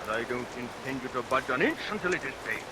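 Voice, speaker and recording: An actor playing a scheming, murderous villain barber in a vintage radio melodrama, declaiming theatrically and relishing each threat. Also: male voice, mid-range voice, animated, quick pace, natural voice. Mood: sly, mocking. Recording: vintage radio recording under rain noise.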